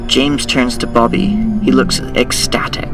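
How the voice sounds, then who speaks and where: A man acting as narrator of a superhero audio drama, reading scripted scenes in a deliberate, dramatic way. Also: medium pitch, steady tone, far from the mic, loud music behind the voice, close to an everyday voice. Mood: suspenseful.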